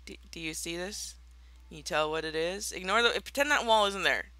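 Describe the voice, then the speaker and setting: A young woman with a very bright, sharp-edged voice, chatting through her block-building game with playful, mock-villainous theatrics. She sounds a touch raspy, like she has just rolled out of bed. The sound is close and dry, with no room around it. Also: lowish voice, brisk, no room sound. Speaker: Minecraft let's player. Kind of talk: casual gameplay commentary.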